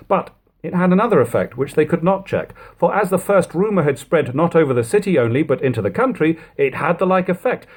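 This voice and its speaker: A man reading an old literary text aloud as an amateur audiobook narrator, with expressive, measured phrasing. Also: on the high side. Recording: lo-fi home recording.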